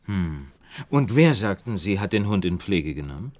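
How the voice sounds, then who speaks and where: A man acting in a German radio play as a calm, shrewd gentleman detective who probes in a measured, analytical way. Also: deep voice. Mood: probing.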